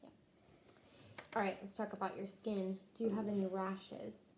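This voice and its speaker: Mellow-voiced woman doing a clinical interview. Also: mid-range voice, little pitch movement, normal speed, a little hiss or hum. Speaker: nurse interviewer taking a health history.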